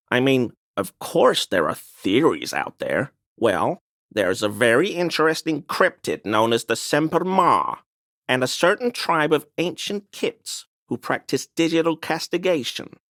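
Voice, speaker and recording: Game role: fussy, defensive male cryptid obsessive; pedantic and wordy, lively swooping intonation, close dry sound. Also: fairly high pitch, no echo, exaggerated voice. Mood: baffled, evasive. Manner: pedantic, wordy, evasive, prone to grumbling. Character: fussy defensive man, amateur cryptid enthusiast and conspiracy believer. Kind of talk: video game character dialogue.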